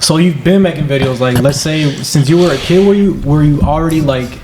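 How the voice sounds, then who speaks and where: A man's voice: on the high side, a little fast, roomy sound, crowd noise. A man hosting a casual podcast, talking in hyped slang and asking "you feel me" again and again. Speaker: podcast host.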